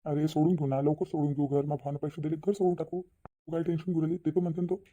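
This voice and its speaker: A man's voice: fairly high pitch, level tone, fast talker, warm tone, muffled recording, close to an everyday voice. A man voicing a troubled, insistent middle-aged village father in a Marathi cartoon, earnest and persuading, with a Vidarbha Marathi accent.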